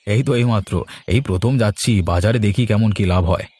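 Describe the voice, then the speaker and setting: A man's voice: lowish voice, fast talker, a little mellow, no echo, lightly acted. A man playing a hardworking, practical village fishmonger in a cartoon, hopeful about his family trade. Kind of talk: Bengali cartoon dialogue.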